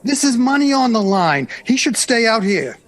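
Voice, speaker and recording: A man's voice: very high voice, sing-song, moderate pace. A man playing a brash, dismissive, money-minded businessman in a 1960s audio drama, pushy and sneering.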